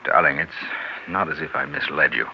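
Unfaithful husband, American, very low voice, weary and persuasive, scheming; muffled 1960s radio drama. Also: male voice, fairly quick, gravelly, slight background noise. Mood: pleading, tender.

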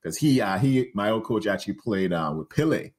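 A man's voice: on the low side, lively intonation, a little fast. A man in his forties from Trinidad and Tobago with a Trinidadian accent, a podcast guest talking casually about his life, laughing often and restarting his sentences as he thinks. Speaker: guest, real estate investor. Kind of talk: casual interview talk. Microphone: on the line, remote call.

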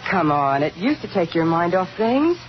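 A woman's voice: on the low side, big pitch swings, moderate pace. Through a dull old radio recording with music behind comes a devoted young sweetheart. She is tender and coaxing yet insistent, in the heartfelt manner of 1950s suspense radio. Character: devoted, pleading young sweetheart of a doomed man.

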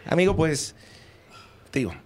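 A man's voice: fairly high pitch, sing-song, moderate pace, darkish tone. A Mexican man on a panel giving tips in casual, conversational talk. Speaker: panel guest.

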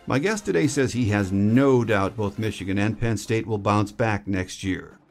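Low-pitched American sports podcast host. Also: male voice, normal speed, faint music behind. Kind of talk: scripted sports commentary monologue.

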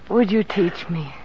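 Ailing devoted woman, unhurried, wistful, tender, 1940s radio drama, dull vintage sound. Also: mid-range voice, background noise. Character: frail, devoted woman pianist, an ailing patient. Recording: muffled 1940s radio recording, music behind.

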